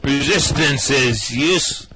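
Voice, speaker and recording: A man playing a dim, bullying alien guard in a comic sci-fi radio play, plodding and hesitant between shouted stock phrases. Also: mid-range voice, slow, music in the background, close to an everyday voice, poor audio. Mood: bellowing, officious. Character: dim, bullying male alien guard, bored yet dutiful.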